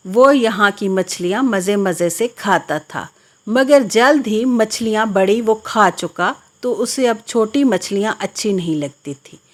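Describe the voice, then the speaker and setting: Slow-paced woman narrating a children's story. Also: medium pitch.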